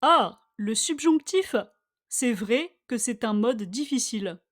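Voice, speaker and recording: A woman reading a scripted language-lesson dialogue with careful, deliberate phrasing, for listeners to repeat. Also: a little high, expressive. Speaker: language teacher.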